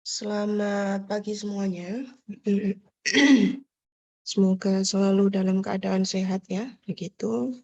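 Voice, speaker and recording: Nasal, husky woman with a cold. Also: mid-range voice, level tone, takes it slowly, long pauses, warm tone, lo-fi sound. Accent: Indonesian. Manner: chatty, with many fillers and tag questions. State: congested from a cold. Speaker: lecturer. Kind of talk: casual online lecture. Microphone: video call.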